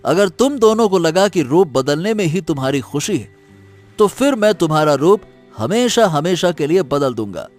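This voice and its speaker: A man playing a calm, commanding magical deer in an animated moral story, speaking in a measured way. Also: on the high side, soft background music. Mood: calm authority.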